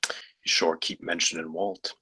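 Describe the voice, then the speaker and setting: A man playing a skeptical, blunt colleague in a screenplay table read, with a rough edge to his voice. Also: measured pace, bright, forceful tone, no echo, low-quality recording. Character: skeptical, blunt male colleague named John, unimpressed.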